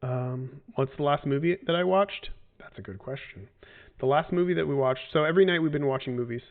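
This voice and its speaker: A man's voice: a little high, animated, a little fast. A man in his forties answering fan questions in a casual livestream, rambling and hesitant with lots of fillers and restarts. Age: 40s. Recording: tinny phone-like audio.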